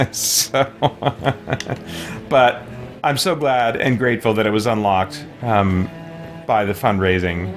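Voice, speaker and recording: A man's voice: on the low side, fairly even intonation, takes it slowly. A man giving casual gameplay commentary on a livestream, chatty and rambling as he thinks aloud. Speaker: livestreamer playing a video game. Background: game music.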